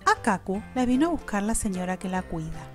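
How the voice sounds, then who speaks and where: A woman with an Argentine accent tells a children's story. Her delivery is scripted and expressive, with lively swings in pitch. Faint music plays behind her. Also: a little fast.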